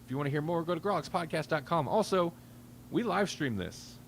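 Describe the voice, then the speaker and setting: A man's voice: on the high side, animated, brisk, gravelly, a little hiss or hum. A man hosting a casual podcast in a laid-back, joking way. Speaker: podcast host. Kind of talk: casual chat.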